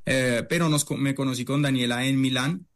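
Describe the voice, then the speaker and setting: A middle-aged man from Italy, a chef, answering interview questions in a halting way, full of fillers and restarts. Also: mid-range voice. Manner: hesitant, many fillers and restarts.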